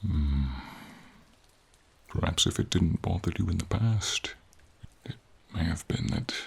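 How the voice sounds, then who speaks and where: A man voicing a gentle, encouraging silver dragon in a fantasy ASMR roleplay, with a husky, near-whispered voice and slow, tender, courtly phrasing, over rain. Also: low voice, heavily acted. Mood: gently concerned. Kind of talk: fantasy ASMR roleplay, soft intimate acting. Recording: steady rain behind the voice.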